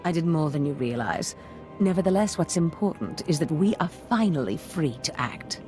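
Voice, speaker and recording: A woman's voice: sits low, lively intonation, normal speed, mellow, faint music behind. A woman playing a proud, ambitious Sith master in a video game, speaking with imperious, theatrical scheming. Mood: smug, gloating. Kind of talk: scripted video game voice acting.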